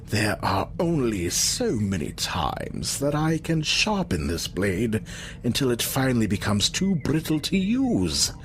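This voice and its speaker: A condescending reptilian villain-scientist , acted by a man in an audio drama. He is articulate and theatrical, with a mid pitch that swoops widely over a slightly noisy backdrop. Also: wildly swooping pitch, measured pace. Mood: smug, explanatory. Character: glib, condescending reptilian mad scientist, small and arrogant.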